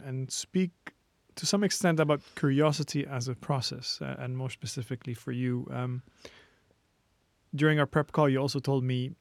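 A male podcast host with a gravelly, mid-range voice sets up his questions in a measured way, pausing on a few restarts. His voice is recorded close and dry. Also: mid-range voice, no room sound. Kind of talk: interviewer asking questions.

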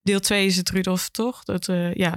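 A woman's voice: mid-range voice, steady tone, normal speed, no echo, clean studio sound. A woman talking informally as an education officer and guest in a podcast conversation.

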